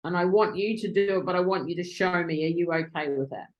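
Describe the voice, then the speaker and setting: A woman interviewing virtual assistants on a video call, excitable and quick to speed up when she gets going. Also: lowish voice, lo-fi sound.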